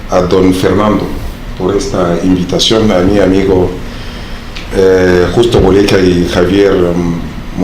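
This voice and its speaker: A man from the Democratic Republic of the Congo, a native Swahili speaker, gives a formal academic talk in a low voice. He lectures in a measured way, pausing often to find his words. The hall around him rings with heavy echo. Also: a little mellow, a little hiss or hum. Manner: measured lecturing with frequent hesitations. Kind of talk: formal conference speech. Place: large conference hall. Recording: very echoey hall.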